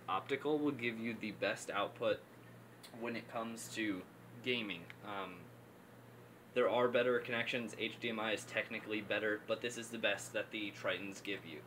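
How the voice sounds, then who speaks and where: A man's voice: on the low side, fairly bright tone, slight background noise, low-quality recording. A man talking casually through a setup tutorial, instructional and repeating his steps for emphasis. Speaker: presenter of a gaming headset setup tutorial. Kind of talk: casual unscripted tutorial talk.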